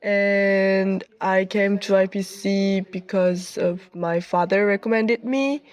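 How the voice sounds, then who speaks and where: This young woman speaks slowly and haltingly, stopping often to find her words in a learned language. Her pitch sits in the middle for a woman, and she answers like a student reflecting in an interview. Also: steady tone. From South Korea. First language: Korean. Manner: halting, searching for words with many fillers.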